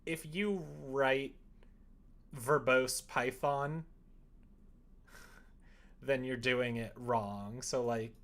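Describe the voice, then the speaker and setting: A man chatting casually on a livestream as he codes, rambling in an animated, opinionated way. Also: medium pitch, very slow, long pauses. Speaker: live-streaming programmer. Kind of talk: casual livestream chat while coding.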